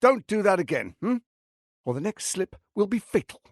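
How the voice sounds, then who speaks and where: An actor playing a suave, ambitious, scheming lord in a video game, speaking in a theatrical, articulate and condescending way. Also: male voice, high voice, big pitch swings, normal speed, no echo, clean studio sound. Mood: cold, condescending. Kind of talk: dramatic video game voice acting.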